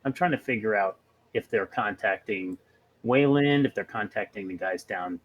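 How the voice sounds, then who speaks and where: A man's voice: lowish voice, moderate pace, lo-fi sound, slight background noise. A man playing a tabletop role-playing game, chatting casually and tentatively, circling back over his words.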